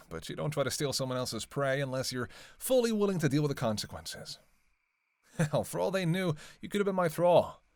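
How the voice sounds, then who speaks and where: A man's voice: mid-range voice, no echo. A man playing a flirty, sardonic vampire in a roleplay audio drama, with casual, teasing, profanity-laced banter. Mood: indignant. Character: flirty, sardonic male vampire on a road trip.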